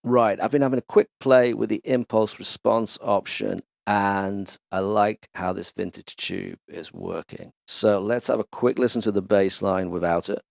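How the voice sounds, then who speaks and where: Gravelly low-voiced man demoing synths. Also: measured pace, hardly any pauses, dry recording, clean studio sound. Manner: relaxed, chatty, enthusiastic demonstrator. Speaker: music production YouTuber. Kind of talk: casual tutorial walkthrough. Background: instrument demo music.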